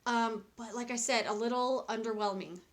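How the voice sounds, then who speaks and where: A woman's voice: fairly high pitch, steady tone, normal speed. Chatty woman reviewing makeup. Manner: chatty, candid, rambling with many fillers and restarts. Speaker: beauty vlogger. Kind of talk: casual product review. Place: small cluttered home room, indoors.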